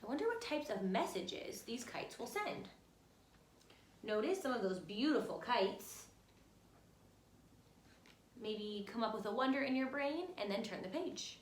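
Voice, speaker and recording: A woman reads a children's picture book aloud in an echoey room. Her voice is fairly high and swings up and down in an animated way. She speaks as a teacher guiding young listeners along. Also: measured pace, long pauses, slight background noise. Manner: expressive, instructive, addresses young listeners directly. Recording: roomy, echoey room sound.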